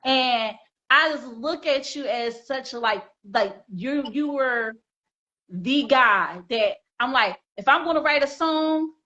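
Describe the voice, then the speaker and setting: Bright, high-voiced woman hosting a chat. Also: animated, slow, dull audio. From Philadelphia. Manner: emphatic, repeats words while building a point. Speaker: host and singer. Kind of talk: casual interview chat.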